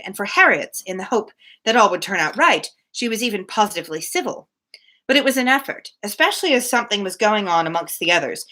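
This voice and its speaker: A woman reads a classic novel aloud with a bright, crisp edge to her voice. Her pitch moves in a lively, expressive way, and she drops easily into informal, chatty commentary as host of the reading. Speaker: host of a live book reading.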